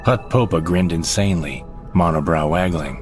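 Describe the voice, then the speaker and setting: A man with a very deep voice narrates a comic sci-fi audio drama in the first person, spinning the tale wryly and theatrically. Clear music plays under his voice throughout. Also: normal speed.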